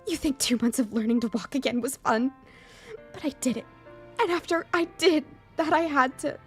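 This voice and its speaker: A woman's voice: normal speed, vocal fry, a little mellow, faint music behind. A woman voicing a proud but scared teenage girl from a visual novel, raw and emotional. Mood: bitter anger. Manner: raw, emotional, high-pitched outbursts and pleading. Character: wounded, fiery teenage girl, proud yet scared and vulnerable.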